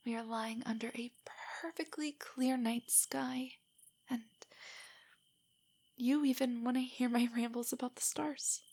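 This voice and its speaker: A woman acting a breathy, shy nerdy girlfriend. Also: on the high side, fairly even intonation, a little slow. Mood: tender, happy. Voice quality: soft, breathy, often whispery. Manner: hesitant, stammering, rambling. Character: shy, nervous, nerdy young girlfriend, self-doubting and affectionate. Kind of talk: intimate roleplay audio drama.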